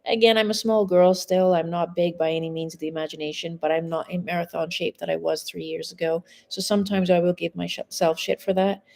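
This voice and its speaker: A woman's voice: fairly low pitch, level tone, a little fast, vocal fry, hardly any pauses, a little mellow. A woman in her forties, a mindset coach, talking frankly and casually to a live audience from home, swearing now and then. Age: 40s. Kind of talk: casual live-stream monologue.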